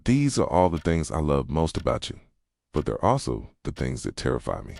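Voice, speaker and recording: A very low, close and dry male voice of an actor as an obsessively devoted boyfriend. He talks softly in a brooding, confessional ASMR style, sometimes dropping to a whisper, with lively swings in pitch as tenderness mixes with possessiveness. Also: moderate pace, creaky, no echo, full character voice. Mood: pleading, insecure. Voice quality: soft, low, slipping into whispers. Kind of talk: intimate boyfriend roleplay ASMR.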